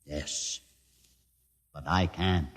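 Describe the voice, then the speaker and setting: An actor playing a grave outcast mutant leader, speaking in a solemn, deliberate way in mid-century radio drama style. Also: male voice, extremely low pitch, steady tone, fairly bright tone, exaggerated voice. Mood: bitter, grave. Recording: long reverb, vintage radio recording.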